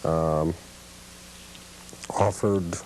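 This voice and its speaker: Deep, mellow middle-aged male guest. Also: steady tone, drawn-out words, a little hiss or hum, low-quality recording. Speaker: guest, radio memorabilia collector. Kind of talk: casual interview recollection. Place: his home.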